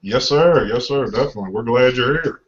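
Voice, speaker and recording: A man hosting a casual live show, bantering in a relaxed way with guests and laughing often. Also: medium pitch, fairly quick, lo-fi sound. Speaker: show host.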